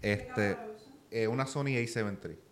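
Man, puerto rican accent, podcast guest, relaxed chat, lots of fillers. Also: on the low side, unhurried. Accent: Puerto Rican Spanish. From Puerto Rico. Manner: relaxed, many "este" fillers. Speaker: guest, YouTuber.

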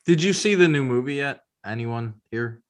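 Medium-pitched man chatting casually. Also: expressive, normal speed. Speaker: podcast co-host. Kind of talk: casual chat.

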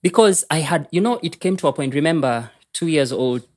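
A man's voice: fairly high pitch, animated, brisk, a little mellow. A man with a Kenyan accent, a podcast guest telling personal stories in a casual, confessional way and slipping between English and Swahili.

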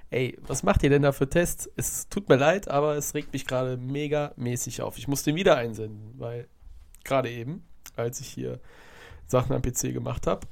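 A man's voice: mid-range voice, moderate pace, creaky, a little mellow. A man hosting a casual solo podcast, sounding stuffed up from a cold as he rambles through a football recap and corrects himself often. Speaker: podcast host.

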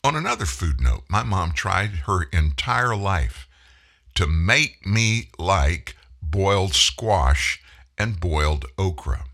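A middle-aged man from Houston hosts his radio show from the studio, spinning chatty, folksy stories. His voice is very deep and husky. It still carries a forceful, bright edge. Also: big pitch swings, takes it slowly. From Houston, Texas. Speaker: radio talk show host. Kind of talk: casual monologue. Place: broadcast studio. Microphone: studio microphone.

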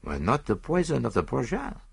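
A man's voice: medium pitch, very animated, measured pace, a bit bright, slightly rough, voice pushed up, exaggerated voice. A man voicing a vain, fussy middle-aged Belgian detective in an audiobook, with a French-speaking Belgian accent and precise, theatrical delivery. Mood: playful, teasing. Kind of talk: audiobook character dialogue.

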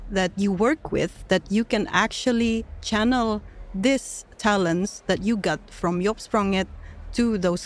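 A woman hosting a live podcast interview at an event, speaking in an upbeat, promotional way. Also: mid-range voice. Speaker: podcast host.

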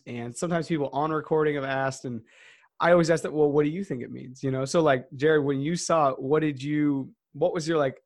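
Male podcast host, casual and rambling, middle pitch, dead-dry sound. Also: vocal fry, no room sound. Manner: rambling, many restarts and fillers. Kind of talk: casual conversational interview.

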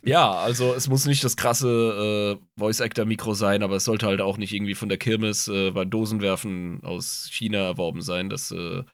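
A man's voice: fairly low pitch, steady tone, normal speed, few pauses, dry recording. A man with a heavy cold co-hosting a casual podcast, joking in short replies. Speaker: podcast co-host and editor.

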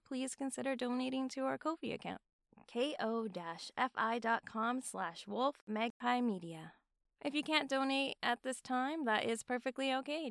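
A woman's voice: fairly high pitch, creaky, a bit bright, clear, resonant tone, no room sound, studio quality. A woman giving a friendly scripted outro as the creator of an audio drama.

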